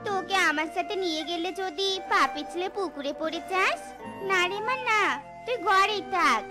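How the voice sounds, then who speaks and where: A woman's voice: very high-pitched, moderate pace. A woman voicing a protective, caring but firm mother fox in a cartoon, speaking in a motherly, cautioning way. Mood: cautioning, giving in. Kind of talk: cartoon dialogue. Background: children's music.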